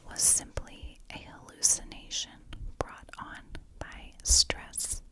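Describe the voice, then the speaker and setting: Slow whispering woman, ASMR storyteller. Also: rough recording. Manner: soft, unhurried, deliberate phrasing with pauses. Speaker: host of a true crime ASMR channel.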